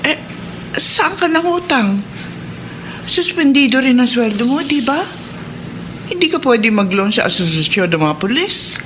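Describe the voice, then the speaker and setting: A woman's voice: fairly high pitch, very animated, unhurried, pauses often, fairly bright tone, slight background noise. An actress playing a concerned, generous but probing older mother-in-law in a Filipino radio drama.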